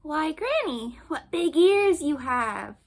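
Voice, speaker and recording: A woman's voice: sits high, sing-song, slow, voice pushed up, heavily acted. A woman voicing an innocent, curious little storybook girl with an earnest, childlike, polite manner. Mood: wide-eyed.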